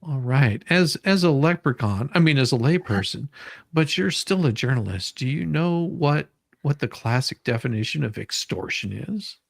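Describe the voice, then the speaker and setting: A person reading the part of a lawyer questioning a witness in a dramatic deposition reading, halting and repeating phrases with sympathy. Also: medium pitch, expressive, creaky, no echo, clean studio sound. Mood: probing.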